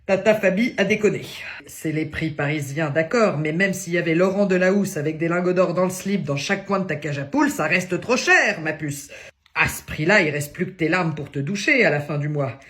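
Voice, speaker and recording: A woman's voice: fairly low pitch, fairly quick, natural voice. A woman voicing a brash, crude middle-aged aunt from the provinces, ranting and teasing down the phone in a comedy sketch. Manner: loud, crude, teasing, one-sided phone rant.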